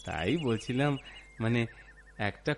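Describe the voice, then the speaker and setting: A man's voice: mid-range voice, expressive, a little slow, vocal fry, a little hiss or hum. A man playing an affable, chatty village washerman in a cartoon, with rustic speech and repeated words. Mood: polite, eager. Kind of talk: cartoon voice acting, rustic dialogue. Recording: music behind the voice. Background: background music.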